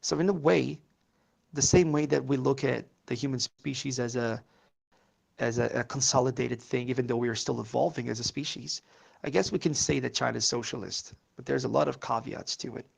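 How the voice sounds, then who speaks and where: A man's voice: medium pitch, fairly even intonation, brisk, vocal fry, husky. A man whose first language is Portuguese, rambling through casual political chat with many fillers and curses.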